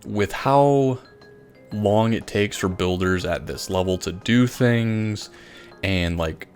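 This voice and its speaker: A man's voice: fairly low pitch, takes it slowly, a little mellow. A man doing casual, enthusiastic gaming commentary in an informal, rambling way. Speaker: gaming video host. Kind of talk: casual Minecraft time-lapse commentary. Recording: voice over background music. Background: music.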